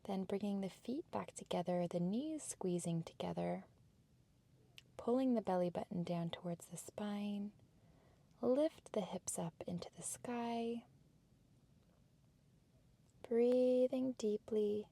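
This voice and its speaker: A woman instructor giving soft, breathy, measured cues for a guided workout in a calm meditation cadence. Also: mid-range voice, no room sound. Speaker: fitness and yoga instructor.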